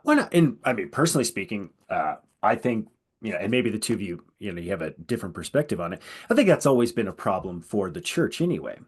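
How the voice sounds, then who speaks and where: A man hosts a podcast in a loose, conversational style. His voice is a little husky, and his pitch swings widely as he talks. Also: quick pace. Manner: animated, chatty, frequent "you know" and "right?". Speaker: podcast host. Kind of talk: casual conversational discussion.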